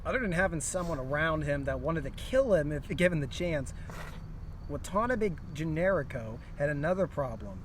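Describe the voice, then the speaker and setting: Higher-voiced man reading outdoors. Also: expressive, moderate pace. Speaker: co-reader in a story read-along. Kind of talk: humorous story reading with casual asides. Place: outdoors near a historic town site. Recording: outdoor recording with passing vehicle noise.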